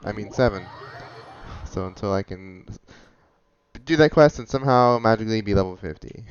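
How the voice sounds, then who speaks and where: A man's voice: on the low side, moderate pace, smooth, no room sound, lo-fi sound. A man giving casual, rambling commentary over his gameplay and correcting himself as he goes. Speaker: gaming channel host. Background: game music.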